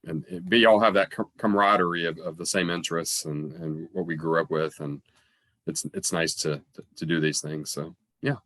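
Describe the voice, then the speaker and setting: A man's voice: low-pitched, fairly even intonation, moderate pace, fairly bright tone, no room sound. A man a little stuffed up with a cold, chatting casually as a guest reviewer. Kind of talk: casual chat. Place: room at home, on a video call.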